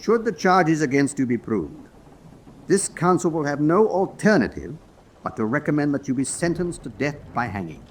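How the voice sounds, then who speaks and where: An actor playing a stern senior officer presiding over a military tribunal, speaking formally and with deliberate command. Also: male voice, a little high, sing-song. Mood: stern, grave. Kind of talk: dramatic radio acting.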